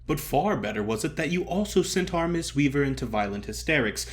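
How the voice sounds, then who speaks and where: A man voicing an effusive, theatrical older stage comedian who cajoles and flatters grandly in an audio story. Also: on the high side, natural voice. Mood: grateful, pleased. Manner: emphatic, drawn-out address, grand pronouncements.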